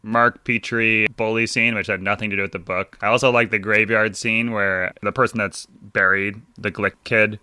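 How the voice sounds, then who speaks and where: A man co-hosting a casual book club podcast, animated and given to exasperated rants. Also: on the low side, normal speed, few pauses, clear, resonant tone, no room sound. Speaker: podcast co-host.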